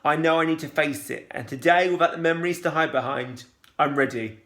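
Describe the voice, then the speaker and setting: A man calmly and expressively reading a children's novel aloud, then posing questions to listeners like a teacher. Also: on the high side, fairly quick. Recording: roomy echo.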